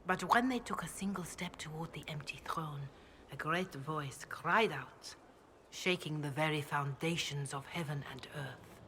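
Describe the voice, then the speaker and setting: An actress voicing a devout, grave priestess in a video game, speaking in a measured, reverent way. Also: female voice, sits low, bright, forceful tone, slight background noise. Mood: grave reciting. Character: devout, grave chantry priestess, calm and counselling. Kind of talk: video game dialogue, scripture recitation.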